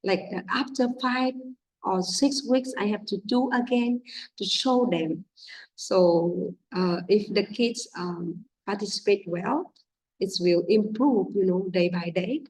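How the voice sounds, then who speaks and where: A woman English teacher with a husky voice and Vietnamese as her first language, speaking non-native English that halts often on fillers as she guides other teachers. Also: medium pitch, slow pace, a little mellow. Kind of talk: informal teaching guidance. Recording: distant from the mic.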